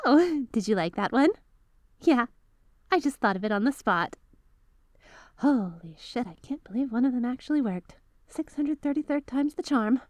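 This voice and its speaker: Woman acting a high-voiced, eager magical girl mentor. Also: darkish tone, dry recording, clean studio sound. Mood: flustered, playful. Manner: chatty, eager, teacherly, addresses listener directly. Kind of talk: ASMR roleplay, scripted character acting.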